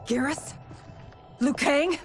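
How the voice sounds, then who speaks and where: A regal warrior queen in a game cutscene. She speaks earnestly and formally at an unhurried pace, with music clearly behind her. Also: high voice. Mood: alarmed, confused. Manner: formal, heartfelt delivery. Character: earnest, regal warrior woman, ruler of a realm. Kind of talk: dramatic video game cutscene acting.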